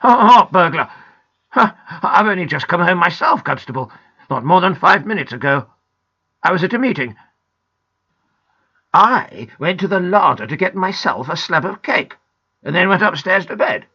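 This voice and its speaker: A woman's voice: on the low side, expressive, moderate pace, pauses often, bright, forceful tone, husky, lo-fi sound, heavily acted. A woman voicing a blustering, indignant old grandfather in a children's storybook. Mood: astonished.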